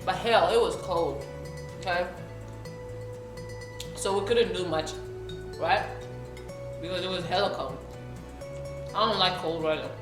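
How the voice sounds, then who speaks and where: A young woman from South Sudan chatting casually to camera as a vlogger while she eats, often checking in with her viewers. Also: on the low side. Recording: roomy room with faint background music.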